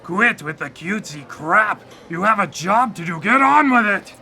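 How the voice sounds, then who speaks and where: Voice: medium pitch, expressive, moderate pace, bright, forceful tone. An actor playing a sardonic, brooding demon who corrupts souls, sarcastic and theatrical, in a live radio drama. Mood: irritated.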